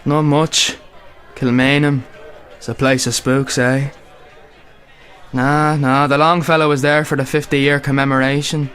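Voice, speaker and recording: A man's voice: mid-range voice, flat delivery, a little slow, fairly bright tone, close to an everyday voice. A man playing an opinionated older Irishman chatting in a radio play, with an Irish accent. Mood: opinionated, wry. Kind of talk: conversational radio play acting.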